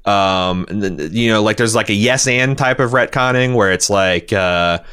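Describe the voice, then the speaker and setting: A man hosting a podcast, discussing television casually in a halting, rambling way and often repeating words as he reasons. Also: fairly low pitch, bright, forceful tone, clean tone. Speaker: podcast host reading listener feedback.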